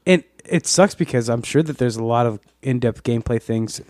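A man's voice: medium pitch, brisk, a little mellow, no room sound. Man, podcast co-host, rambling, swears a lot, dry close sound. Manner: profane, rambling, lots of restarts. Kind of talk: casual chat.

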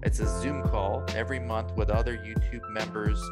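Man's even promo read over loud music. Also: fairly low pitch, normal speed. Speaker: channel host. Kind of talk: channel membership promo. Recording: loud guitar music underneath. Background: guitar music.

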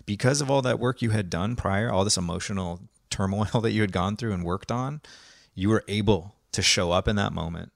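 A man's voice: on the low side, a little fast, creaky, dry recording, clean studio sound. A man hosting a podcast, telling his backstory in a long-winded, laid-back way. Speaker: podcast host and photographer. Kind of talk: casual conversation.

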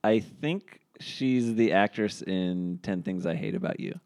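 A man's voice: low-pitched, unhurried, gravelly, darkish tone, clean tone. A young man chatting casually as a podcast guest, easygoing and often laughing as he talks. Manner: easygoing, laughs while talking. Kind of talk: casual chat.

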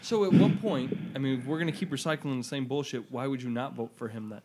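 A man talking bluntly and profanely in a casual group podcast chat, with loud outbursts. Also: medium pitch, fast talker, a crowd in the background.

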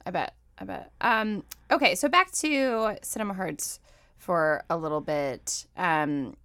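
A woman podcast host with a lowish voice and plenty of vocal fry. She interviews in a casual, conversational style. The recording is dry, with hardly any room sound. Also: unhurried, fairly bright tone, no room sound.

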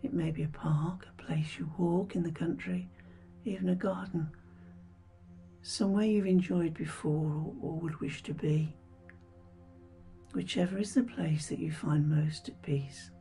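A woman leading a scripted guided meditation in a soothing, hushed, breathy voice with gentle pauses. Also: low voice, fairly even intonation. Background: background music.

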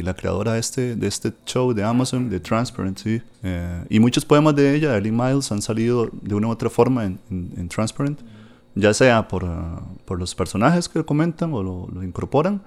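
A man's voice: fairly low pitch, normal speed, darkish tone. A man, chatting casually about books on a podcast, full of fillers and tag questions. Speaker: podcast host discussing books. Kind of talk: casual literary chat.

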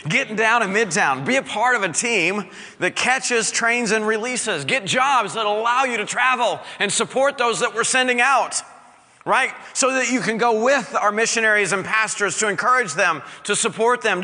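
A male pastor preaching an energetic sermon, exhorting his congregation with call-and-response. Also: high-pitched, moderate pace, in a large hall.

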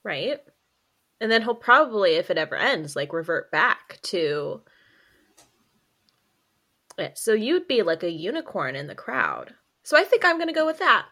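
A woman guest in a casual podcast chat, making wry, drawn-out remarks. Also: medium pitch, very animated, sharp tone.

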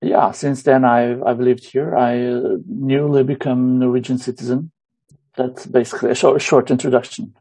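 A middle-aged man from Romania with a Romanian accent, talking about his life as a guest in an interview, hesitant and full of pauses and fillers. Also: mid-range voice, little pitch movement, warm tone, breathy edge, dry recording, poor audio. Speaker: guest musician. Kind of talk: casual interview.

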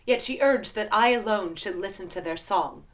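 Casting: a woman as a solemn ship's captain for verse audio drama, deliberate and commanding of a crew. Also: mid-range voice, lightly acted. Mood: grave, confiding. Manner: grave, deliberate, poetic. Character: Odysseus, grave male sea captain addressing his crew.

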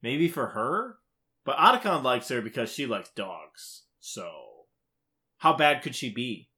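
A man's voice: mid-range voice, very animated, a little slow, sharp tone. A man co-hosting a podcast, chatting casually about games with wry, conversational comments. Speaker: podcast co-host.

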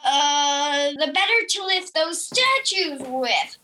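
A young woman playing a flustered female werewolf in an audio drama, halting and strained as she makes excuses. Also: high voice, lively intonation, bright, forceful tone, faint music behind, heavily acted.

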